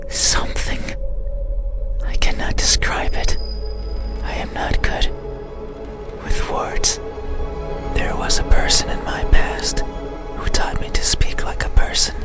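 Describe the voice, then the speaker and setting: A man plays an eerie, lonely creature from a horror game that craves to be seen. It speaks entirely in a breathy whisper, very slowly, with brooding, deliberate pauses. Loud music runs underneath the voice. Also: lightly acted. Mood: melancholy, wistful. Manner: slow, halting, brooding, deliberate phrasing. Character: lonely, eerie wordless monster craving to be seen.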